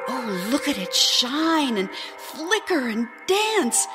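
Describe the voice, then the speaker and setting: A woman playing a dreamy, tender wife and mother in an audio drama, speaking in halting, breathless exclamations as if seeing visions. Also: high voice, sing-song, full character voice. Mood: rapturous awe. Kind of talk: emotive audio drama acting. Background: loud music.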